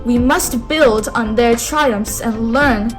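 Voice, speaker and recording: A woman voicing a dying old king who speaks calmly and wisely, reading from a storybook. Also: on the high side, slow, music in the background. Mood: solemn. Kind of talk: read-aloud storybook.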